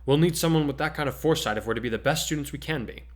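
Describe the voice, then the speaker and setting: A man's voice: fairly high pitch, very fast, pitched above the performer's own voice, natural voice. A man voicing an earnest, analytical teenage schoolboy with a formal, emphatic way of talking in a story reading. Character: earnest, analytical teenage boy class-rep hopeful.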